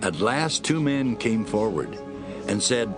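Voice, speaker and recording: Expressive, low-voiced male narrator. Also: slow, music in the background. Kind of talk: scripted dramatic scripture narration.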